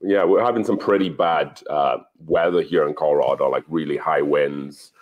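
A man's voice: very deep voice, normal speed, phone-quality sound. A middle-aged man from Wales with a British accent, chatting casually as a livestream guest. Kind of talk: casual chat. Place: indoor home bar.

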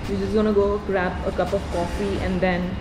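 A woman's voice: mid-range voice, level tone, a little fast. A woman co-hosting a casual travel vlog, giving brief, upbeat tour-guide remarks. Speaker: vlog co-host. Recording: loud music over the voice. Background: music.

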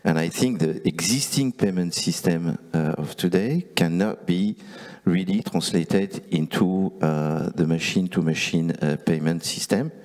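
A man on a conference panel, halting and full of restarts, speaking into a handheld mic. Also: low voice, a little mellow. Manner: halting, many fillers and restarts. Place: conference stage.

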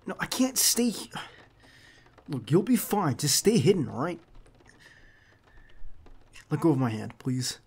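A man acting a tense, loyal, protective bodyguard in an ASMR roleplay, speaking in a halting, earnest and reassuring way. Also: a little high, big pitch swings, a little mellow, breathy edge, exaggerated voice. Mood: worried, insistent.

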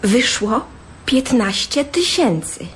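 A woman's voice: fairly high pitch, very animated, slow, slight background noise. A woman acting as a gambler's exasperated partner in a radio drama, asking pointed questions with dry sarcasm. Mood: exasperated, probing.

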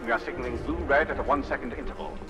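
A brisk, formal military signals officer voiced by a man for a video game. He talks quickly at a medium pitch. His words echo over prominent music. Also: natural voice. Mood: formal, alert. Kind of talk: military radio-report acting in a video game.

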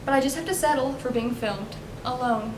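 A young American woman gives a scripted commencement speech as a student speaker. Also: fairly quick. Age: young adult. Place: indoor multipurpose room at podium. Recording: echoey room with clear rumbling noise.

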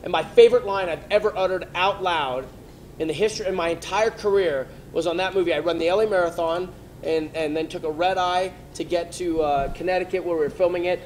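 Man telling an animated stage anecdote. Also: high voice, slight background noise, low-quality recording. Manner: animated anecdote with halting restarts. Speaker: convention panel guest. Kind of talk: storytelling to a live audience. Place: large convention theatre stage.

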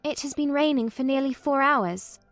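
A woman's voice: sits high, normal speed, no echo, faint music behind, voice pushed up. A woman voicing an earnest, guarded and stubborn genteel young girl in an audiobook. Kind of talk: audiobook character acting.

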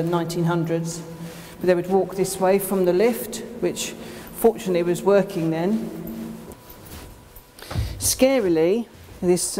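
A middle-aged woman giving an informal guided tour, explaining as she walks, with slightly halting phrasing. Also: on the low side, dark tone, a little hiss or hum. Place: indoors in disused underground station, tunnels and train carriage.

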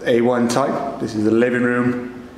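A young man, an estate agent giving a brisk, upbeat walkthrough of an unfinished house in short clipped phrases. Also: mid-range voice, little pitch movement. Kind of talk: walkthrough tour of a building site. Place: unfinished timber-frame house and outdoor building site. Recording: echoey bare rooms with loud site noise.

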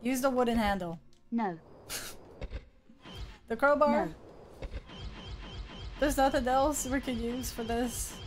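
A young woman streaming a game, talking to the character on screen and reading chat as she plays. Her voice sits a little high and she speaks slowly, with unhurried, drawn-out phrases. A steady hiss of background noise runs under her desk mic. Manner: chatty, talks to the game character and reads chat aloud. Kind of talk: live game commentary. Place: small room with colorful lights behind.

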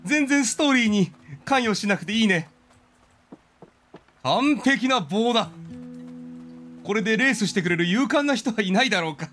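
A man's voice: sits high, animated, normal speed, pauses often, fairly bright tone, faint music behind. A man doing casual game commentary, playfully chatting and thinking aloud as he streams.

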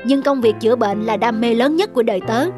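A man's voice: very high-pitched, fast talker, music in the background. A man voicing a dedicated, modest doctor in a cartoon, earnest and apologetic but unbending. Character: dedicated, modest male hospital doctor, gently stubborn. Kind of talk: cartoon dub.

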